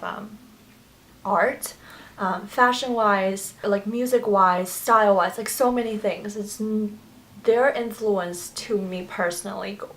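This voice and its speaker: A woman's voice: medium pitch, rough recording. Woman in her 20s, Chinese first language, chatty get-ready-with-me beauty vlogger. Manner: chatty and gushing, with many fillers and restarts. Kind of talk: get-ready-with-me makeup tutorial chat.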